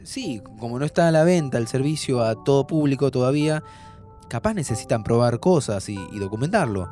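Excitable young Argentine man played by an actor, swooping pitch. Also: brisk, faint music behind, close to an everyday voice. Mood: cautious. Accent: Argentine Rioplatense. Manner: excitable, teasing, informal. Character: pleading, playful, impatient young man planning time travel. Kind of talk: naturalistic audio fiction acting.

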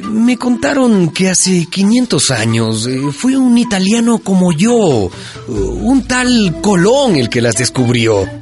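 A man playing Saint Francis of Assisi as a gentle, curious friar in a radio drama. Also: fairly high pitch. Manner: lively, questioning, gentle.

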